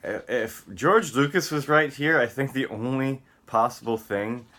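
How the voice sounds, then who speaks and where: A man's voice: medium pitch, measured pace, bright, forceful tone. A man on a rambling solo vlog rant, profane and combative, swinging between muttering and outbursts. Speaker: vlogger.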